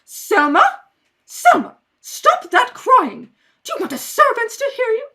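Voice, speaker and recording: A woman's voice: very high voice, sing-song, measured pace, pushed and bright, pitched above the performer's own voice, heavily acted. Woman playing a stern, imperious older society aunt. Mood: stern, commanding. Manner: imperious, clipped commands. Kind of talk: radio play acting.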